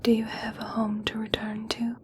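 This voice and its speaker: A woman playing a gentle, caring, curious young elf princess in a whispered, soothing ASMR personal attention roleplay. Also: a little high, monotone, slight background noise, natural voice. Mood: gently curious. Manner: soft, slow, soothing whisper.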